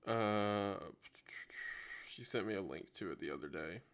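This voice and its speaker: A man's voice: fairly low pitch, lively intonation, a little slow, a bit bright. A man hosting a livestream in casual unscripted chat, rambling and thinking aloud as he multitasks. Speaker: livestream host.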